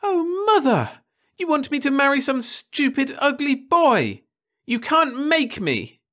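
A man's voice: very high voice, big pitch swings, slow, sharp tone, pitched above the performer's own voice, heavily acted. A man voicing a sulky, whiny nineteen-year-old girl who wails and pleads. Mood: pleading, whiny. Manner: petulant, wailing.

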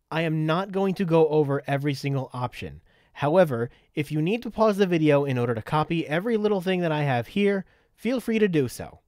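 A man giving a methodical, step-by-step software tutorial in an instructional way. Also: on the high side, dry recording, studio quality. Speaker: tutorial presenter.

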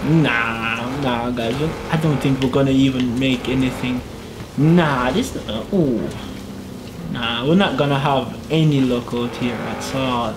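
A man's voice: mid-range voice, slow pace, background noise. A young man giving casual gameplay commentary on a headset in a small room at home, chatty, muttering to himself and laughing as he drives. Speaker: gaming YouTuber. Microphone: gaming headset.

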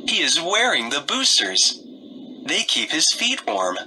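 A man narrating a read-aloud story for young children in short, expressive sentences. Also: fairly high pitch, unhurried, noisy background.

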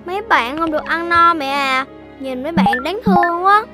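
A performer voicing a cute young puppy in a children's cartoon, playful and childlike in an expressive way. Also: very high-pitched, moderate pace. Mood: sad, sympathetic. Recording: music behind the voice.

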